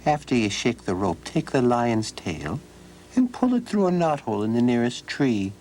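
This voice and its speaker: A man voicing a tiny green fairy man who speaks seriously and gives measured, kindly advice. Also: medium pitch, sing-song, darkish tone, a little hiss or hum, voice pushed up, lightly acted, low-quality recording. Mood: earnest. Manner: earnest, measured advice-giving.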